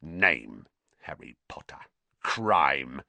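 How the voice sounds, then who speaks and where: A man's voice: extremely low pitch, very animated, very slow, creaky, bright, forceful tone, no echo, voice pushed down, exaggerated voice. A man voicing a furious, spiteful old school caretaker in an audiobook, wheezy and strained as he rants. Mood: grumbling, officious. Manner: ranting, muttering, roaring.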